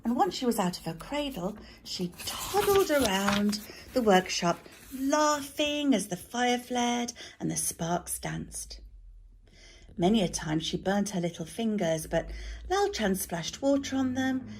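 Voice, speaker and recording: A woman reading a children's story aloud as a teacher, in an expressive narrating style. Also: fairly high pitch, a little slow, slight background noise.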